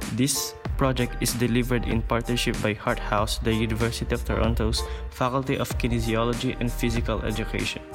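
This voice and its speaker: Man reading a formal project statement. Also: mid-range voice. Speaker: narrator. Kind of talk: scripted narration.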